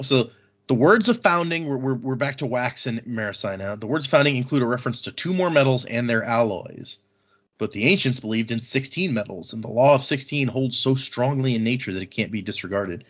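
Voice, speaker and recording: A man's voice: mid-range voice, fairly bright tone, dull audio. A man hosting a podcast, recapping a book in a casual, informal way. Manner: informal, acts out quoted dialogue briefly. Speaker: podcast host.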